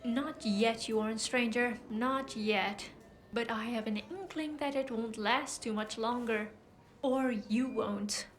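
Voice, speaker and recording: A woman plays a composed female doctor in a noir audio drama. Her voice sits a little high, and she talks in a measured, knowing manner with an insinuating, teasing edge. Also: faint music behind.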